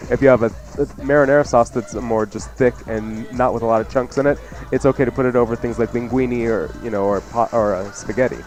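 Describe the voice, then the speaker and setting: A man's voice: mid-range voice, darkish tone. A man who is a chef and radio co-host, giving casual cooking advice.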